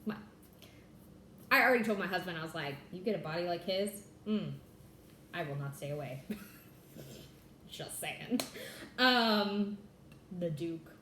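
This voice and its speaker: A woman's voice: lowish voice, lively intonation, slow, pauses often, pushed and bright, slight background noise. Bubbly chatty woman in an echoey room. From California. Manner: bubbly, chatty, says "like" and "y'all" a lot. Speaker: Flosstube host. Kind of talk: casual chat to camera.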